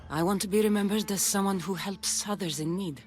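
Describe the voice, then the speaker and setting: An actress playing a distrustful, defiant ancient Greek mercenary in a video game, with blunt and guarded delivery. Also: female voice, mid-range voice, brisk, close to an everyday voice. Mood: grim, resolute. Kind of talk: video game dramatic acting. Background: music.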